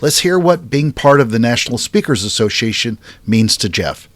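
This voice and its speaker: A man hosting a podcast, upbeat and emphatic as he runs through a list of takeaways. His voice sits at an ordinary middle pitch. It is recorded close on a studio mic with a dry, dead sound. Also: animated, moderate pace, a bit bright, dry recording. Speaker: podcast host. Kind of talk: podcast interview and recap.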